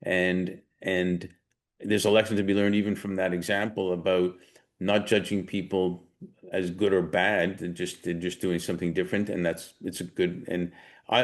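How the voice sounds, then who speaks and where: A man hosting an interview, asking long, rambling, encouraging questions with restarts. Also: low voice. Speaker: host. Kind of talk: casual interview.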